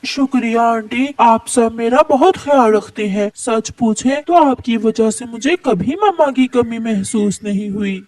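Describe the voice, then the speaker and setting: Woman as a gentle young crow daughter. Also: on the high side, measured pace, hardly any pauses, no room sound, lightly acted. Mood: meek, grateful. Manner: polite, meek. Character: gentle, dutiful young female crow, unloved stepdaughter.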